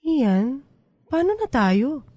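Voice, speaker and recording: A woman's voice: high-pitched, very animated, slow pace, dark tone, no echo, heavily acted. A woman playing an eager, clinging teacher in love in a radio drama, chatty and insistent. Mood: anxious. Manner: chatty, insistent, planning aloud.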